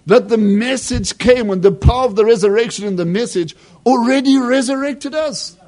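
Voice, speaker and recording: A man preaching a sermon with fervent emphasis that drops into hushed asides. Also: very high-pitched, normal speed.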